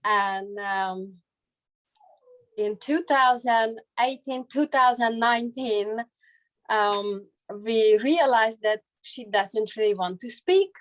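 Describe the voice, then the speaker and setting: Hungarian woman telling her story, slow. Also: a little high, rough recording. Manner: laughs often, halting with fillers. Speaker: translator. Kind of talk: personal story on a group call. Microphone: video call.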